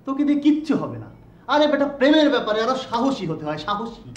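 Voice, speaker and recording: A brash, teasing young man mocks his timid friend, his pitch leaping all over the place. The voice sounds dull and lo-fi, and the room is echoey. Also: sing-song, fairly quick, a little hiss or hum. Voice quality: mocking, brash. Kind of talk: TV drama acting.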